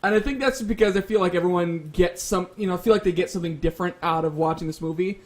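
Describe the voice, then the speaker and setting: High-pitched man debating casually. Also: quick pace. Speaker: debate show panelist and moderator. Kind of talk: casual pop-culture debate.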